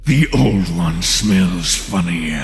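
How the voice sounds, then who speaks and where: A man's voice: low-pitched, expressive, takes it slowly, heavily acted. A man voicing an eerie spirit that speaks inside someone's mind in a fantasy audio drama, drenched in echo. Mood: intense, menacing. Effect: echoing reverb. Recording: long echoing reverb.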